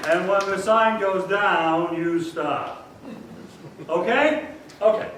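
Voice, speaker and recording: A man's voice: high voice, slow, over a noisy crowd. A man playing an upbeat, theatrical 1940s radio show announcer, welcoming a live audience as host. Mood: coaxing, upbeat. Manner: theatrical, showy, addressing the audience. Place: stage of a performing arts hall. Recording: echoey hall from far off, faint noise.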